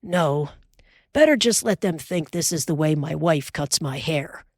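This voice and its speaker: A woman reading aloud who voices a steady, teasing pioneer father in a low, plain, earnest way. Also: a little slow, no room sound, clean studio sound, heavily acted.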